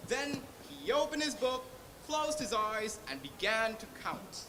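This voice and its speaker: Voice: medium pitch, sing-song, unhurried, bright, forceful tone, echoey room. Teenage narrator, Thai accent, dramatic storytelling, swooping intonation, noisy stage recording.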